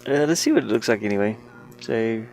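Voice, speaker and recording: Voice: medium pitch, expressive, brisk, a little mellow, low-quality recording. A game developer talking casually to themselves while they work, muttering with playful asides. The speaker's voice could be a man's or a woman's.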